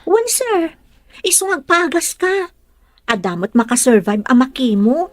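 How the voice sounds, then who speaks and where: A woman acting in a radio drama as a patient young caregiver with a gentle, coaxing, respectful manner. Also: high voice, sing-song, a little slow, dry recording, studio quality. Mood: pleading, encouraging.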